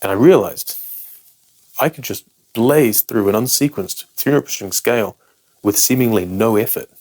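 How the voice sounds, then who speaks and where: Low-voiced British man explaining guitar technique. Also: normal speed, darkish tone, low-quality recording. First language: English. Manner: frank, self-deprecating and emphatic. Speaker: guitar teacher.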